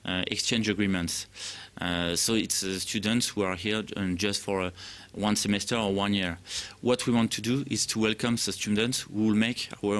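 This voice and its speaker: A man with a French accent giving interview answers as a program director in English that is not his first language, halting as he searches for words. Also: fairly low pitch, fairly bright tone.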